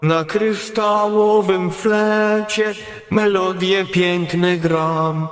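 A man's voice: high-pitched, fairly even intonation, drawn-out words. An actor singing as a young, solitary, dreamy page boy in an audio drama. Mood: wistful, dreamy. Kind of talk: slow sung fairy-tale song. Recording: reverberant hall, music behind.

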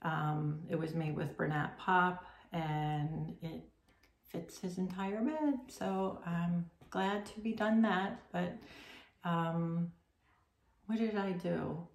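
A woman's voice: on the low side, takes it slowly. A middle-aged woman hosting a crochet podcast, chatting to the camera at home in a rambling way, full of ums and self-corrections. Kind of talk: casual chat to camera. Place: home room with red striped wallpaper, indoors. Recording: roomy echo.

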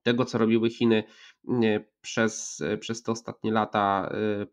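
A man in his thirties giving a measured geopolitical analysis over a video call from a room at home, with many hesitations and restarts. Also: on the low side, level tone. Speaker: geopolitics commentator. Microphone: video call, earphones with mic. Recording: slightly dull lo-fi call audio.

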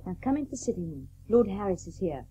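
Husky, genteel Victorian wife. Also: female voice, medium pitch, big pitch swings, warm tone, rough recording. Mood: lively. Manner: genteel, brisk. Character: genteel Victorian doctor's wife and mother, fond, brisk. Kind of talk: radio play acting.

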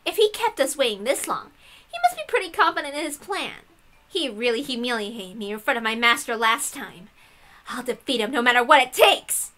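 An imperious young lady detective acted by a woman reader, high and forceful. She sounds haughty and vengeful, a sharp visual novel rival. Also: expressive, normal speed.

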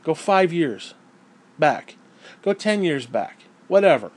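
Hoarse, heated, foul-mouthed man. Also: a little high, big pitch swings, slow. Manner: profane, blunt and heated. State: hoarse, losing his voice. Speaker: gaming vlogger. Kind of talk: angry opinion rant.

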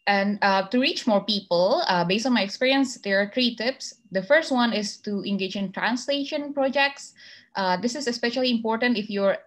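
A woman's voice: a little high, moderate pace, a bit bright. A young woman with an Indonesian accent giving a slide presentation over a video call, hesitant with many fillers and restarts. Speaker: masterclass speaker.

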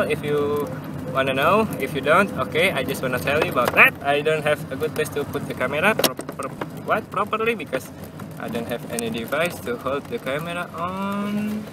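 Young Indonesian-accented man, higher-pitched, noisy car. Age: young adult. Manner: halting, self-correcting, repeats words. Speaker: vlogger. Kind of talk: casual vlog chat while driving. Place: inside a car with windows open, driving. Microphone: camera propped on the dashboard. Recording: clear car and traffic noise.

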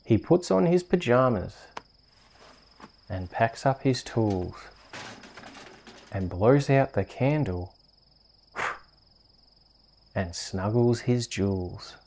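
An Australian man hosting and reading bedtime stories in a soft, soothing, unhurried ASMR storytelling manner. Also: medium pitch, lively intonation, gravelly, a little hiss or hum. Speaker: host reading bedtime stories on a video channel.

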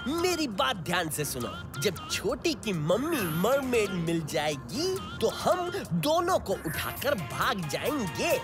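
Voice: mid-range voice, huge pitch swings, takes it slowly, hardly any pauses, music in the background. An actor playing a sly, scheming, sarcastic character in a children's cartoon, with a conspiratorial manner. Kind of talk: animated children's cartoon acting.

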